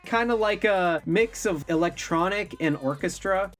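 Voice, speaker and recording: A man's voice: high-pitched, a little slow. A man in his thirties co-hosting a film review, giving emphatic opinions as commentary. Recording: music bed under voice.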